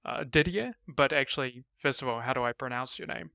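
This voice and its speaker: Bright, gravelly-edged male interviewer. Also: medium pitch, dry recording, studio quality. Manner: upbeat, brisk questioner. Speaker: interview host. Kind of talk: quick rapid-fire interview questions.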